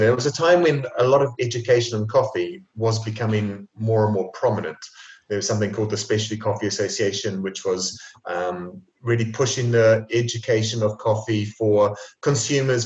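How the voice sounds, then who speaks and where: A man in his forties with a New Zealand accent, a coffee trainer talking through his story as a podcast guest, relaxed and full of ums. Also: fairly low pitch, reverberant, muffled recording.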